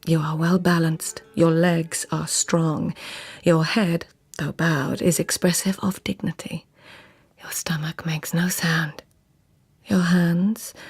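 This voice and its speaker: Husky, low-voiced court lady. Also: a little mellow, heavily acted. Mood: composed, wry. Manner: formal, measured, carefully polite speech. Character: proud, witty Japanese court lady, deferential yet flustered. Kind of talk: radio drama acting with first-person narration.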